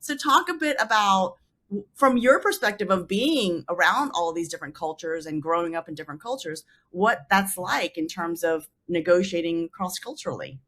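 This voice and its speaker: A woman's voice: lowish voice, lively intonation, normal speed, a bit bright. A woman interviewing a guest as podcast host, conversational, setting up her questions with examples.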